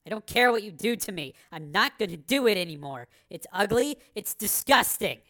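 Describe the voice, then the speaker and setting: A woman's voice: medium pitch, lively intonation, very slow, darkish tone, no echo. An actress playing a frightened but defiant young captive woman in a dramatised story reading. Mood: tearful defiance. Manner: toneless turning to tearful defiance.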